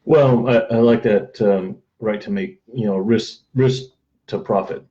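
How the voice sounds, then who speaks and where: A man co-hosting a casual chat, relaxed and agreeable, often answering in short replies. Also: lowish voice, unhurried, lo-fi sound. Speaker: podcast co-host.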